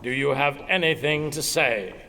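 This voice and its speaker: A man's voice: mid-range voice, normal speed, background noise, lightly acted. An actor playing a proud, commanding king in an audio drama, regal and formal in manner. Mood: angry, menacing. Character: proud, commanding king, stern and imperious with buried tenderness.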